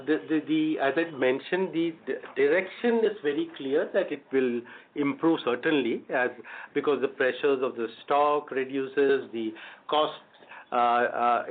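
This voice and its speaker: A man speaking as a company executive answering questions on an earnings call, halting and often repeating words as he builds his answers. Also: medium pitch. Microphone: phone or conference line. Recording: phone-line conference call audio.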